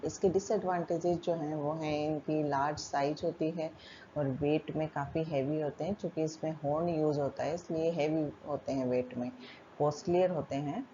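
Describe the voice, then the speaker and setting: A woman with an Indian accent giving an explanatory technical lecture as a college teacher, in a methodical, halting way. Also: deep voice. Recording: muffled lo-fi audio with loud background noise.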